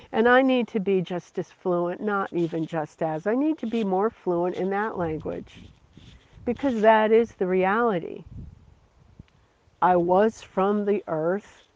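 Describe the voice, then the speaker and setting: A preacher giving a slow, earnest devotional sermon, repeating phrases for emphasis. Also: medium pitch. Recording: dull lo-fi recording.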